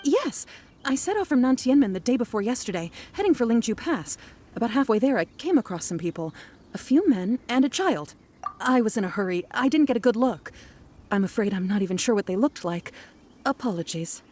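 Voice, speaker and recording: A woman's voice: on the high side, big pitch swings, a little fast, a little mellow, a little hiss or hum. A woman voicing a polite, flustered, apologetic female scholar in a video game, courteous and hesitant.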